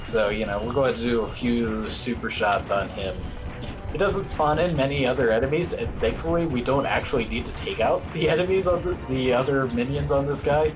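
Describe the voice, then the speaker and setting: A man's voice: mid-range voice, a little fast, music in the background, rough recording. A man giving casual, wry, self-deprecating live commentary as he plays through a video game as a speedrunner. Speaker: speedrunner commentating on own play.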